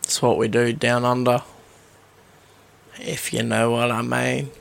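An Australian man co-hosting a live podcast, his voice gravelly with fry. He is a bit tipsy, curses freely and trades rowdy jokes with his co-host. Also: medium pitch, flat delivery, a little slow, fairly bright tone. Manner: swears a lot, joking banter. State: a little tipsy. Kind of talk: casual live podcast chat.

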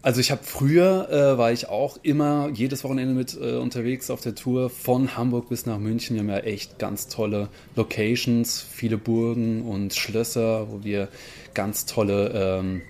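A man's voice: medium pitch, steady tone, normal speed, darkish tone. A man, a stage actor, answering questions in a casual on-location interview. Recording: on-location interview with background noise.

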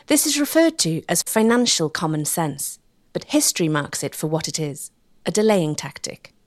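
Casting: female documentary narrator, scripted read with highly varied, expressive pitch. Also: normal speed.

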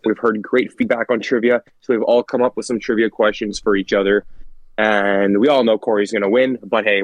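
A man's voice: on the low side, no echo, lo-fi sound. A congested man hosting a podcast in a laid-back, jokey chat with friends. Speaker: podcast host. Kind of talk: casual chat.

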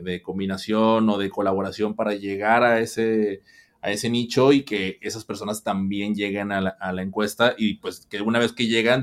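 Guy co-hosting, kinda low voice, keeps restarting his question, lots of "o sea". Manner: hesitant, many restarts and "o sea".

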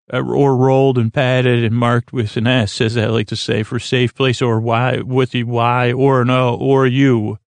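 A man with a gravelly, creaky voice at a middling pitch, recorded close and dry. He hosts a sleep podcast, drifting through halting tangents and correcting himself as he goes. The delivery is lulling and reassuring. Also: no room sound. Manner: meandering, halting, full of tangents and self-corrections. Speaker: podcast host. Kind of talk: rambling bedtime sleep podcast monologue.

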